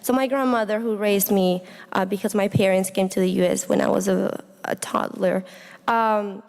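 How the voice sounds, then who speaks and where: A woman's voice: mid-range voice, fairly even intonation, moderate pace, vocal fry, a little mellow. A young woman from Honduras gives a reflective, earnest personal speech as a student speaker, addressing an audience. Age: young adult. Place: indoor hall, speaking to an audience. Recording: echoey hall with long reverb.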